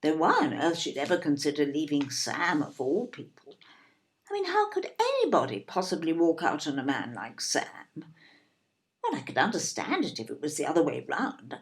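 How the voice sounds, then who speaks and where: A woman's voice: low voice, big pitch swings, moderate pace. A woman acting in an audio play as an indignant, snobbish older English mother, fussy and emphatic, with an English accent. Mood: indignant blaming. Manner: emphatic, repetitive, tag questions.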